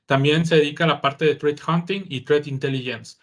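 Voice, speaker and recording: Un hombre que copresenta el programa, presenta a la invitada y lee los anuncios con un tono formal de locutor. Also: male voice, fairly high pitch, a little fast. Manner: formal, announcer-like delivery. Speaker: co-host. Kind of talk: scripted introductions and sponsor reads.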